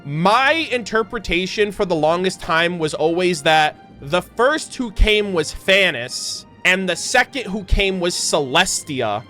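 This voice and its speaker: A man's voice: sits high, pushed and bright. A man giving casual reaction commentary, thinking aloud in a musing, self-questioning way. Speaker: YouTube reactor and game lore commentator. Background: background music.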